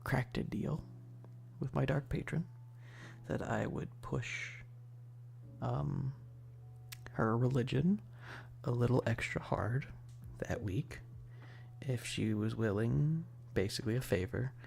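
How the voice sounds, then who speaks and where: A man streaming casual ASMR chat in a rambling, hushed way, often dropping toward a whisper, with a gravelly voice. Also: medium pitch, takes it slowly, pauses often, a little hiss or hum.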